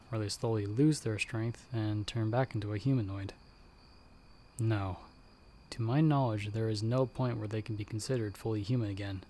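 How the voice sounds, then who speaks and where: A man playing a battle-hardened werewolf hunter in an ASMR audio roleplay, speaking directly to the listener in a measured, dryly understated way. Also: fairly low pitch, a little mellow, slight background noise, lightly acted. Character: battle-hardened male werewolf hunter with healing magic, calm mentor.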